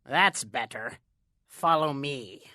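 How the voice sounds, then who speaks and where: A sly, scrawny little man played by a woman needles and coaxes in an audio drama. The voice is low for a woman, with a sharp, bright edge. It is recorded close and dry, and leans into dramatic pauses. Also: expressive, no room sound, heavily acted. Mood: smug, conspiratorial. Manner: insinuating, coaxing, dramatic pauses. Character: sly small thin male stranger, needling tempter.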